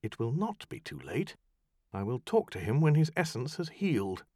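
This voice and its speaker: A weary, guarded young magician, as a male narrator plays him, quiet and terse. His lines stay restrained with a close, dry recording sound. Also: medium pitch, very animated, moderate pace, no room sound, voice pushed up.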